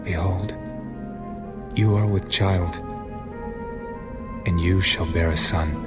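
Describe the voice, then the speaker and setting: Deep, grave angel over loud music. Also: male voice, steady tone. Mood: grave, authoritative. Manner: grave, authoritative, deliberate. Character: male angel of the Lord, grave and authoritative messenger. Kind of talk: dramatized scripture acting.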